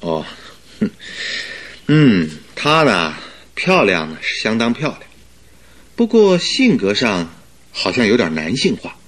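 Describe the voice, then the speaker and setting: Male detective's sidekick, low voice, lively intonation, grumbling, teasing, radio drama. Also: slow, pauses often, heavily acted. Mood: miffed, teasing. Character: detective's male companion, slightly miffed, thoughtful. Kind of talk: Mandarin radio drama acting.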